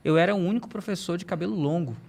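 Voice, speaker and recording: A man who works as a teacher, a guest on a podcast, telling anecdotes in a brisk way with gentle self-deprecating humor. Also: high voice, creaky. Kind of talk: casual podcast conversation.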